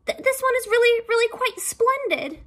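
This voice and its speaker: A woman's voice: very high-pitched, animated, normal speed, fairly bright tone, voice pushed up. A woman voicing a timid, anxious male turtle servant in a storybook, stammering and hesitant. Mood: nervous, stammering.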